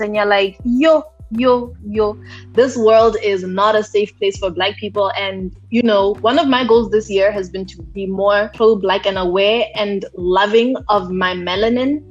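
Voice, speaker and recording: Animated young woman from Botswana chatting. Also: medium pitch, normal speed. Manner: animated, slangy, acts out quotes. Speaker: podcast co-host. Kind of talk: casual chat. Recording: slightly dull lo-fi sound with faint music.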